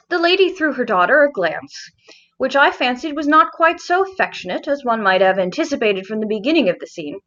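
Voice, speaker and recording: A woman with a high voice narrates gothic fiction. Her reading is deliberate and expressive, with a literary turn, on a slightly dull, lo-fi recording. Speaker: audiobook narrator.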